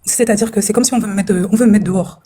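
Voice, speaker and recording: A woman's voice: medium pitch, rapid-fire, warm tone, husky. A woman caller from France telling her troubles, hesitant and distressed and often repeating herself.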